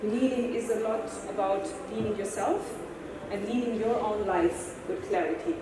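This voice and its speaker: A woman's voice: medium pitch, normal speed, background noise. German-accented woman talking in an echoey hall. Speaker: horse-assisted coach giving a conference talk. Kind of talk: conference presentation. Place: large conference hall. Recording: echoey hall, distant mic.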